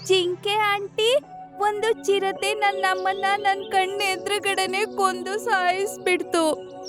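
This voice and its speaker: A woman as a very high, pleading little goat. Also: flat delivery, slow pace, music in the background. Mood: hurt, pleading. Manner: childlike, polite pleading. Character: pleading orphaned young female goat. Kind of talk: cartoon story voice acting.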